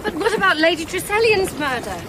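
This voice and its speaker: A hesitant, cooperative witness in a radio mystery. Her voice is very high and animated, with crowd sounds behind. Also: fairly quick. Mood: earnest. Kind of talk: radio drama acting. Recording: crowd and outdoor boat ambience.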